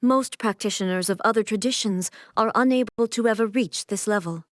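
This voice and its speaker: An actress voicing a solemn, aloof immortal shogun and swordswoman in a video game, with formal, measured, stately delivery. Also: mid-range voice, no echo, studio quality, lightly acted. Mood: proud, instructive. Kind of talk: video game character voice lines.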